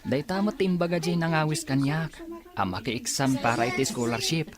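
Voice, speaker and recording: A woman's voice: low voice, brisk, natural voice. A woman playing an earnest young male student in an audio drama.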